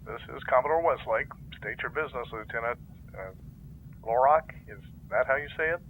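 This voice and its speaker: Male actor: forceful commodore, gruff authority, faint noise. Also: medium pitch, a little fast, close to an everyday voice, poor audio. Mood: brusque. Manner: commanding, gruff, softening. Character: stern middle-aged male fleet commodore, a father.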